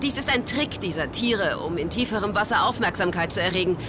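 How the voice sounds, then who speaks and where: An actress playing a dry, matter-of-fact biologist in a radio drama, explaining things technically and unruffled, as if reporting live on location. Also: female voice, medium pitch, lively intonation, a little fast, lightly acted. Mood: detached, explanatory. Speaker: scientific expert reporting live. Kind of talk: scripted radio-drama expert interview. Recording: clear outdoor city background noise.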